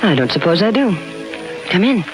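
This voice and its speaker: A woman's voice: mid-range voice, big pitch swings, normal speed, natural voice, low-quality recording. Woman acting haughty wealthy wife, cool, tart, dryly sarcastic, 1950s radio drama, music playing behind. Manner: dry, sarcastic.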